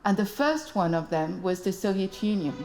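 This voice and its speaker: A woman making a documentary statement in a slow, emphatic way, stressing single words. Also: mid-range voice, expressive, over loud music, low-quality recording. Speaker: documentary speaker.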